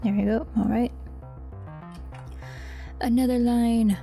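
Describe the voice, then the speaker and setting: Mellow woman muttering as she works. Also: a little high, steady tone, slow pace, creaky, soft background music. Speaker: voice actor, audio creator. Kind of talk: casual behind-the-scenes commentary while editing audio.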